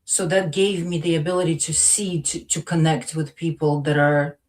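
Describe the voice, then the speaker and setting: Low-pitched, hesitant woman from Latvia. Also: little pitch movement, moderate pace, a little mellow. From Latvia, then part of the Soviet Union. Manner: modest, hesitant, searching for words. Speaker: interview guest. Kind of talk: casual personal conversation.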